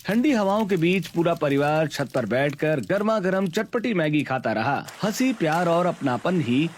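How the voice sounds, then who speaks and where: Higher-pitched man narrating over music. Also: lively intonation, normal speed, slight background noise. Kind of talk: scripted storybook narration for a cartoon. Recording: background music under voice.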